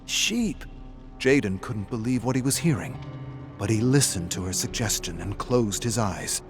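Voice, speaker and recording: A man's voice: on the low side, normal speed, music in the background. A man reading scripted horror fiction as a narrator, in a dramatic, suspenseful style.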